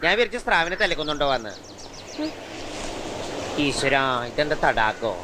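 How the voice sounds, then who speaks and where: Casting: bumbling film-comedy grumbler, slightly high voice, noisy recording. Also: male voice, big pitch swings, normal speed. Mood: dismayed. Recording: noisy compilation of film clips.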